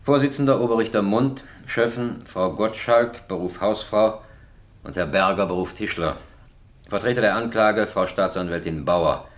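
An actor playing a stern, formal presiding judge with an official, commanding manner in a radio drama. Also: male voice, fairly low pitch, moderate pace, natural voice. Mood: formal, procedural. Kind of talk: radio courtroom drama acting.

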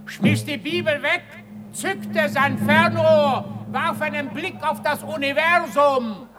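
An actress playing a mocking street ballad singer in a radio play, half singing and declaiming to the common folk. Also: female voice, high voice, slow, music in the background. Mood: mock outraged. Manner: declamatory, theatrical, half sung.

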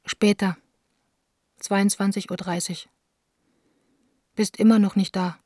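A woman's voice: medium pitch, level tone, slow pace, dark tone, no room sound, studio quality. An actress playing an impulsive, hurt young woman in love, reading her note in a radio drama, intimate and plaintive. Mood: hurt, longing.

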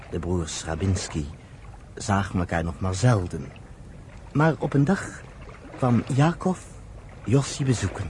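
A man narrating a radio play as an expressive, deliberate storyteller. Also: on the low side, a little hiss or hum.

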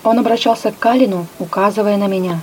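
Woman, audiobook narrator, mellow warm tone, expressive first-person reading, faint hiss. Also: medium pitch, fast.

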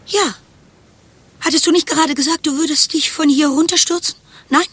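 Bright, tense, solemn young woman. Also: sits high, fairly even intonation, moderate pace, no echo. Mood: insistent. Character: earnest, tormented, humourless young woman in crisis. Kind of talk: German radio play acting.

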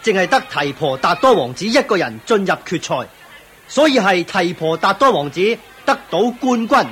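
An actor playing a dutiful court official in a radio drama, formal, declamatory and deferential. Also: male voice, high-pitched, big pitch swings, moderate pace, sharp tone, slight background noise, full character voice. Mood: announcing. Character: dutiful court official announcing royal contest results.

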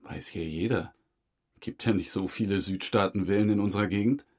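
A stubborn suspect under questioning played by a male actor in a crime drama. His voice sits fairly low, and his answers are curt, defensive and evasive. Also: fairly quick, a little mellow, natural voice. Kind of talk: German crime radio drama acting.

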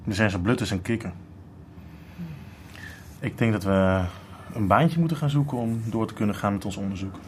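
A man's voice: lowish voice, moderate pace, pauses often, a little hiss or hum, close to an everyday voice. An actor playing a frustrated young male student, casual, grumbling and sarcastic, in a modern radio drama. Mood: casual, doubtful. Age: young adult.

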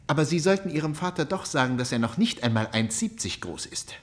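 A man playing a petty, jealous, dim-witted gentleman in a radio drama, fussy and grumbling. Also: a little high, expressive, a little fast, close to an everyday voice. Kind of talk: literary radio drama acting.

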